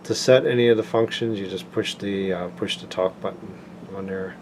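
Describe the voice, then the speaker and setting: Low-voiced man, noisy room recording. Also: moderate pace. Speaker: product reviewer. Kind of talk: casual product demonstration. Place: indoors, home tabletop recording. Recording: clear steady air-conditioning room noise.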